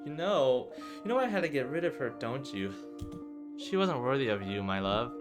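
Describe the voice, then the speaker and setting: A man's voice: medium pitch, animated, moderate pace, music in the background, lightly acted. A man voicing a menacing, obsessive young woman in a visual novel, cooing with mocking, theatrical sweetness. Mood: possessive, coaxing.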